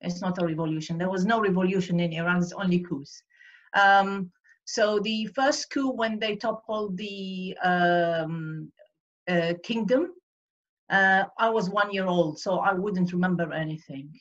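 A woman's voice: on the low side, measured pace. A woman in her sixties from Iraq, earnestly recalling her life as a guest on a video call, often searching for words. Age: 60s. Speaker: guest speaker. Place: home room over a video call. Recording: dull lo-fi video-call audio.

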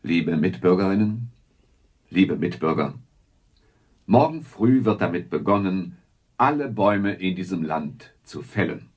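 An actor playing a self-righteous head of government in a radio play, speaking in a pompous, oratorical manner. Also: male voice, sits low, animated, a little slow, darkish tone. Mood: solemn, insistent. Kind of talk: German radio play political address.

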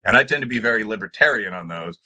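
A middle-aged man speaks as a panelist over a video call. His voice is bright and forceful, with pitch that swings widely as he makes his points. He argues in a discursive, academic way with firm emphasis. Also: lively intonation, fast talker. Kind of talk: extemporaneous panel discussion argument. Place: indoor room with bookshelves, on a video call.

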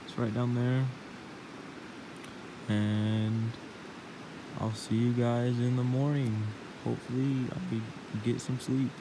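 Young man, camping vlogger, deadpan, slow talker, action camera, clear outdoor noise. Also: medium pitch. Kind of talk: casual solo camping vlog. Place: outdoors in a hammock, then inside a truck cab. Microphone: handheld action camera.

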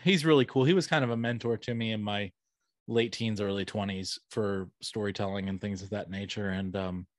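A man's voice: on the low side, fairly even intonation, fairly quick, no echo. A middle-aged man speaking as a podcast host in a casual, enthusiastic, rambling conversation, close on a studio microphone. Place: small home room, video call. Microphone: close studio mic on boom arm.

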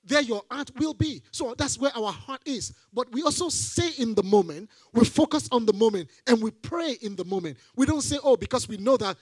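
Man preaching, high voice, dry close sound, handheld mic, church stage, animated emphatic delivery. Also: normal speed, few pauses, husky, dry recording. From Nigeria. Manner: animated, rising to emphatic repeated phrases. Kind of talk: sermon.

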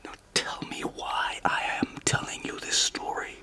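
An actor in a radio drama playing an exacting, domineering American film director who talks deliberately and keeps checking he is followed. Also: pushed and bright, whispered. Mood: intense, commanding. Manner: deliberate, probing, punctuates with checking questions. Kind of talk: naturalistic radio drama acting.

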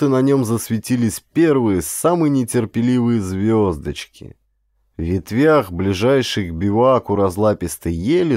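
Male narrator for adventure fiction, dark mellow tone, lively intonation, close dry sound. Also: lowish voice, no room sound. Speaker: audiobook narrator, actor. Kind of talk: scripted dramatic audiobook narration of an adventure novel.

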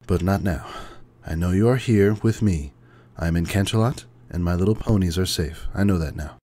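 A man voicing a gentle, weary, ageless pony princess in a soft, halting, reassuring manner for a story reading. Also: sits low, voice pushed up. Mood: wistful, troubled. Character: gentle, weary, ageless royal pony mare, elder sister.

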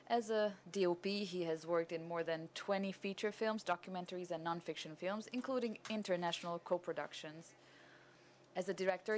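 A woman's voice: on the low side, a little hiss or hum. A woman hosting at a film festival, reading a formal introduction of a guest on a cinema stage. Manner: formal, scripted. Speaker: festival host.

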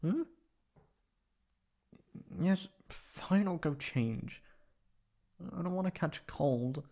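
A man voicing a shy, flustered young tsundere catboy in a high put-on voice, halting and stammering in a roleplay audio drama. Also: lively intonation, vocal fry, warm tone, heavily acted. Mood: shy, soft. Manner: halting, stammering, pleading and defensive.

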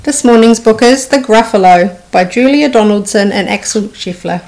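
A woman in her thirties reading a children's storybook aloud with expressive narration. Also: a little high, moderate pace, slight background noise, low-quality recording. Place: small furnished room indoors.

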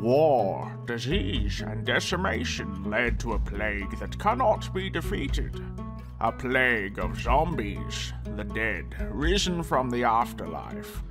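A man playing a solemn narrator who introduces a zombie apocalypse in a portentous, dramatic scripted prologue. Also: medium pitch. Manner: slow, portentous. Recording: music behind the voice.

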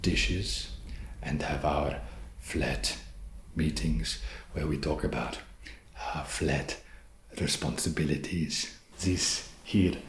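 A very low, slow-talking vampire acted by a man with a mock foreign vampire accent. He is soft-spoken, halting and awkwardly friendly, desperate to seem trendy in an ASMR comedy. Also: roomy sound, a little hiss or hum, exaggerated voice. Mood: awkwardly welcoming. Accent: put-on foreign vampire accent.

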